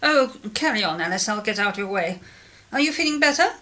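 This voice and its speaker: A woman's voice: mid-range voice, animated, brisk, pushed and bright. An actress playing a genteel, regretful middle-aged clergyman's wife in a 1930s radio drama, speaking in a formal, hesitant way. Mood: formal, dejected.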